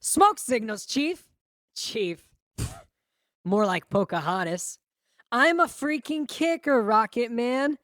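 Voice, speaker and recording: A woman's voice: fairly high pitch, expressive, takes it slowly, pauses often, a bit bright, dry recording, studio quality. A woman voicing a cocky, unhinged son who taunts and boasts in an audiobook. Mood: mocking, cocky. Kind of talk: audiobook character voice.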